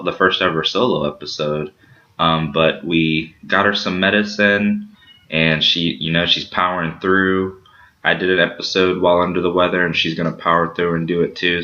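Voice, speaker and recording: A man hosting a casual podcast, rambling through shout-outs and promos with lots of fillers. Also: deep voice. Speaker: podcast host. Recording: dull lo-fi sound.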